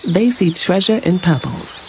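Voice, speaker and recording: A narrator reading a public service ad in soft, evocative storytelling. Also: mid-range voice, animated, a little slow, gravelly, a little hiss or hum. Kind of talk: gentle scripted narration.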